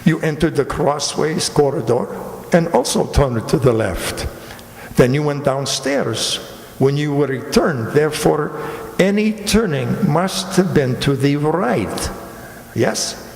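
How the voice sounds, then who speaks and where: A man's voice: on the high side, animated, gravelly, darkish tone, full character voice. Man acting a theatrical police commander, echoey hall. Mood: courtly explaining. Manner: courtly, deliberate, theatrical. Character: clever, theatrical Italian police commander, courtly and sly. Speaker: amateur radio player. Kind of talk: stage radio mystery acting. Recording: echoey hall, live stage performance.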